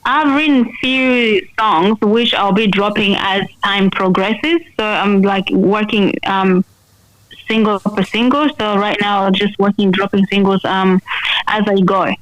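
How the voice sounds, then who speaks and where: A woman's voice: mid-range voice, fairly even intonation, unhurried, no echo, poor audio. A woman singer-songwriter talking casually in a radio interview, switching between English and another language.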